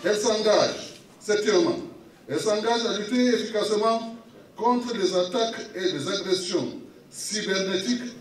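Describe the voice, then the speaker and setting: A man with a Cameroonian accent solemnly reading a formal public declaration in short, measured phrases, as an official would. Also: sits high. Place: municipal hall at a public meeting. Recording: echoey hall, distant microphone.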